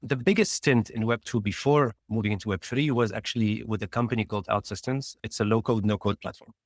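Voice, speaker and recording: A man from Tunisia, a startup founder, telling his career story as a guest in a casual interview, rambling quickly with restarts. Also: fairly low pitch, dry recording, clean studio sound.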